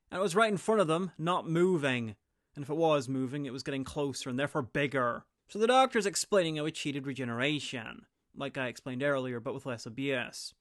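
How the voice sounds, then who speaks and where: A man's voice: a little high, a bit bright. A man giving a sarcastic, mocking recap and review of a TV episode, with the odd swear word. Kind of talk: comedic recap commentary.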